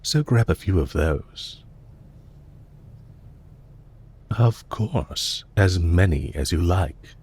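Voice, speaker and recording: A man playing a courteous, calm villain leader in an audio roleplay, speaking in a polite, measured, formal and softly commanding way. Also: low-pitched, breathy edge, heavily acted. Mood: solicitous, gentle. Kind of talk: scripted roleplay audio drama.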